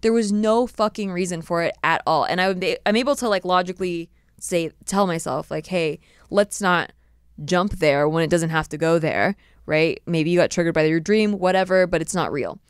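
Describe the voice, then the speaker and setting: A woman in her thirties hosting a casual podcast, chatty and animated, recorded on a desk mic in a small studio. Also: on the low side, normal speed, smooth, dry recording. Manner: animated, chatty, slips into playful put-on voices. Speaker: podcast host. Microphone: desk mic on a boom arm.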